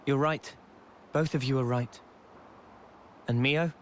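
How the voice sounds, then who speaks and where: A man playing a gentle, earnest young male soldier in a video game cutscene, hesitant and soft-spoken. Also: mid-range voice, steady tone, takes it slowly, slight background noise, close to an everyday voice. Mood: earnest, reflective.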